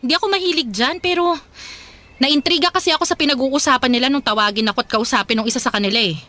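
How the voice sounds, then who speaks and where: An indignant, high, fast-talking younger sister. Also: female voice, pushed and bright, no room sound. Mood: accusing. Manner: earnest, accusing, pleading. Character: worried, confrontational younger sister, indignant and moralizing. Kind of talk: radio drama acting.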